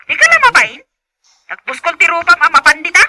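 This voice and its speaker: A woman's voice: very high-pitched, sing-song, brisk, bright, forceful tone, a little hiss or hum, heavily acted. A woman playing a bossy, nagging wife in an Ilocano audio drama, demanding in manner, with an Ilocano accent. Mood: angry nagging.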